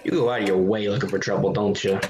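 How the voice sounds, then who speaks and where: A man's voice: lowish voice, fairly even intonation, quick pace, muffled recording, noisy background, lightly acted. A man playing a reluctant, jittery sidekick in an old-time radio drama, hesitant and wryly complaining. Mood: grumbling.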